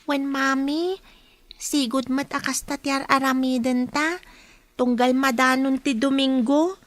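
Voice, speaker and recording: Ilocano radio drama: woman as small child, very high voice, sweet and obedient. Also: fairly even intonation, unhurried, fairly bright tone. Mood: dutiful. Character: sweet, dutiful small child talking to mother.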